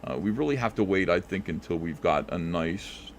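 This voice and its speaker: A relaxed man with a fairly low voice answers callers on a live stream, talking things through with plenty of ums and uhs. A faint hiss sits under his voice. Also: moderate pace. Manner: relaxed, thinks aloud with many fillers. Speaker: comic creator answering callers. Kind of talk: casual live call-in chat.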